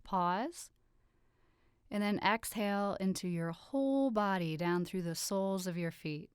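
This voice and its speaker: A woman leading a guided relaxation meditation with soothing, gentle instructions and unhurried pauses. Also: mid-range voice, clear, resonant tone, dry recording, studio quality. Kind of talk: guided meditation, yoga nidra.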